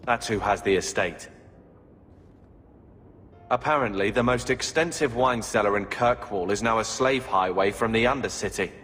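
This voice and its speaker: Man acting a sullen, low-voiced brooding brother. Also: normal speed, a bit bright, lightly acted. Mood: scornful. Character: resentful, brooding younger brother in a fantasy game. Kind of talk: dramatic video game acting. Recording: long hall reverb, faint music behind.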